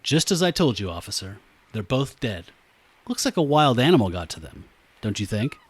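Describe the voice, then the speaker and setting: Man playing a haughty, animated, prim gentleman. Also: medium pitch, normal speed, husky, no echo. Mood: smug. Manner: prim, formal, condescending. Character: stiff, haughty man, formal and disapproving. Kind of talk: old radio show acting.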